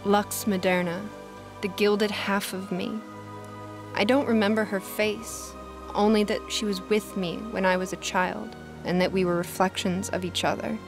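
A woman's voice: mid-range voice, steady tone, unhurried, natural voice. A woman playing a weary, sardonic young gamer and music producer in a motion comic, with a dry delivery. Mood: wistful.

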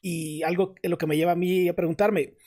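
A man co-hosting over a video call, with a somewhat higher voice than most men. He speaks in a measured, thoughtful way, framing his questions with formal wording. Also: steady tone, mellow. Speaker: podcast co-host, interviewer.

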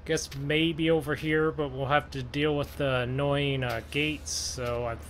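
A man hosts a casual gaming role-play, thinking out loud as he goes. His voice sits a little high for a man and he takes his time between phrases. Vehicle engines run clearly behind his commentary. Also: steady tone, unhurried, slight background noise. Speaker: gaming video host. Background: game vehicle engine sounds.

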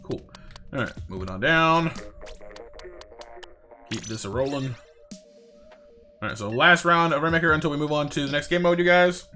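Stammering, slightly raspy young male streamer. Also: fairly high pitch, normal speed, long pauses, bright, forceful tone, soft background music. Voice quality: slightly raspy voice from a recent cold. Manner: stammering with many repeated words. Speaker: livestream gaming host. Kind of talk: casual live gameplay chat with viewers.

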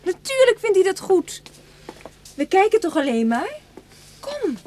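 A woman's voice: very high voice, sing-song, a little slow, a little mellow, a little hiss or hum, full character voice. A woman playing a bold, teasing stepdaughter in a radio drama, coaxing and playful.

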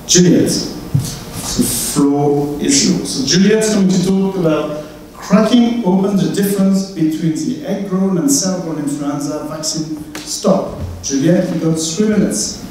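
A middle-aged man with a French accent, hosting a university event in a chatty, welcoming way with some halting fillers. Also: high-pitched, mellow, slight background noise. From France. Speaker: host. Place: large lecture hall, indoors. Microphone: handheld mic, far camera. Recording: very echoey hall from far off.

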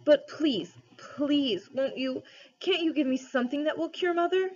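A woman's voice: a little high, lively intonation, unhurried, pitched above the performer's own voice. An earnest young storybook boy voiced by a woman reader, nervous and unsure of himself. He speaks hesitantly, in a slightly dull recording. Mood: tearful pleading. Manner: hesitant, pleading. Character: anxious, earnest young schoolboy.

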